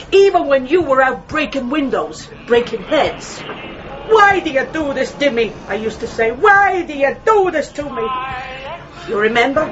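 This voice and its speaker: An actress playing an elderly, chatty mother in a radio drama, rambling and gently scolding as she reminisces. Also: high voice. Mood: nostalgic, scolding. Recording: dull, noisy radio play recording.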